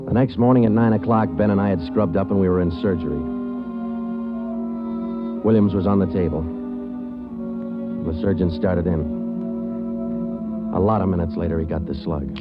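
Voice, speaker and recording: An actor playing a terse police sergeant who narrates his case in a 1940s radio drama, clipped and deadpan. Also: sits low, moderate pace, long pauses, natural voice. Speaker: narrator and detective. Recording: muffled 1940s radio with music under.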